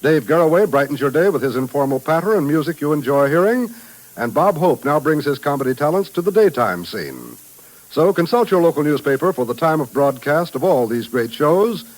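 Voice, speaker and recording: A man's voice: a little high, sing-song, fairly quick, poor audio. An American man announcing on 1950s radio, reading a script in a polished, declamatory way. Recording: vintage radio broadcast, faint music.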